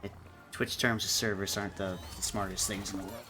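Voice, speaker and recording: A man's voice: lowish voice, steady tone, brisk, background noise. A man hosting a stream, chatting casually about games in an opinionated way with some swearing. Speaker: stream host. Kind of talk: casual gaming chat. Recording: music playing underneath. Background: music.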